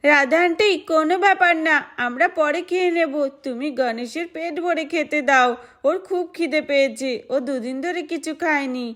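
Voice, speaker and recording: A woman's voice: sits high, normal speed, fairly bright tone, pitched above the performer's own voice. A woman voicing a considerate, generous little girl in a doll-play story, chirpy and earnest. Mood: caring.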